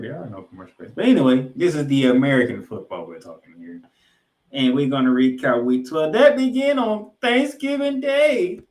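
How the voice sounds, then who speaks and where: A man hosting a sports podcast in a showy, emphatic way, swinging between loud and quiet. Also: fairly high pitch, reverberant. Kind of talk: hosting banter and football recap.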